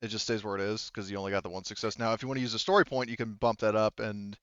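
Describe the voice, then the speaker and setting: A man running an online tabletop roleplaying game as game master, talking casually and haltingly as he thinks aloud and corrects himself. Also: on the low side, steady tone, dry recording, dull audio.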